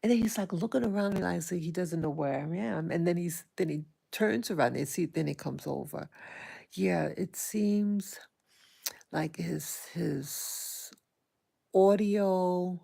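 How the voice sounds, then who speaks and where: A soft-spoken woman hosting an early morning livestream, talking hesitantly with many pauses and fillers and greeting her viewers. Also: on the low side, creaky, husky. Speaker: livestream host. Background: small dog occasionally heard.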